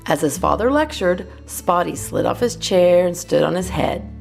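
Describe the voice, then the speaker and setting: A woman narrating a children's picture book as a storyteller, in an expressive scripted reading. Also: on the low side, normal speed, gravelly, soft background music. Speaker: children's storyteller, channel host.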